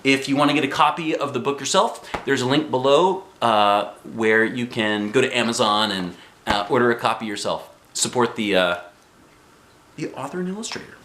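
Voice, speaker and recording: A man reading a children's book aloud in an expressive, folksy way, with chatty asides. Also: medium pitch, moderate pace, a little hiss or hum. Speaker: children's book reader and channel host. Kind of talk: animated read-aloud storytelling for children. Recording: roomy home recording.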